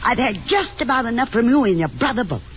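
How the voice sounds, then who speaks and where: Actress as animated, fussy mother, old radio. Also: on the high side, fast talker, a little hiss or hum, close to an everyday voice. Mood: exasperated, commanding. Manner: coaxing, insistent, genteel. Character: fussy, domineering middle-aged Southern belle mother. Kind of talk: 1950s radio drama acting. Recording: muffled old 1950s radio broadcast with music.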